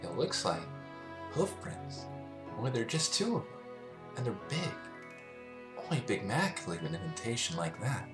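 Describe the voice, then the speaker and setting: A man's voice: fairly low pitch, sing-song, moderate pace, long pauses, over music, pitched above the performer's own voice. A man voicing a thoughtful, reassuring young female pony who leads her friends, articulate and encouraging, in a story reading. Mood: reassuring, focused.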